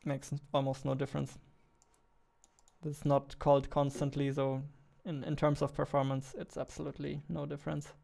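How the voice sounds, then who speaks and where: A man, chatting casually on a livestream while he works, thinking aloud in a halting way. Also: a little high, monotone, gravelly, warm tone. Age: 20s. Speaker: game developer and streamer. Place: indoor room at a computer, keyboard clicks behind.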